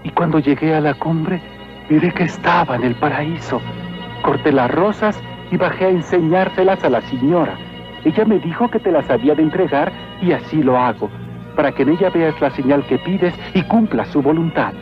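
A devout cartoon peasant played by a man. He has a high voice and a meek, earnest, respectful manner. Loud music plays behind the muffled soundtrack. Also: expressive, normal speed, lightly acted. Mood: reverent, earnest. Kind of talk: animated film voice acting.

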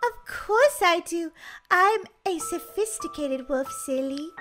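A woman acts out a chatty, friendly young wolf from a children's game story. The voice is extremely high and bright, bouncing up and down playfully as it stretches its words. Music plays behind it. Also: expressive, takes it slowly, exaggerated voice. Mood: smug. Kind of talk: children's Roblox roleplay story.

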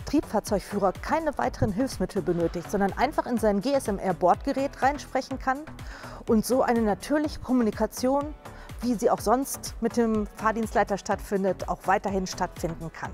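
A middle-aged woman presents for a company in a clear, polished way. Her pitch sits in the middle, picked up on a lapel mic. Soft music plays beneath. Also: lively intonation, moderate pace. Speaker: company spokesperson. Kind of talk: scripted presentation. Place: large indoor atrium.

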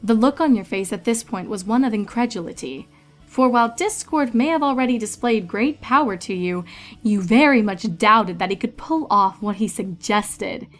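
A woman's voice: medium pitch, lively intonation, moderate pace, faint music behind. A woman narrator reading a story aloud in a scripted, dramatic storytelling style.

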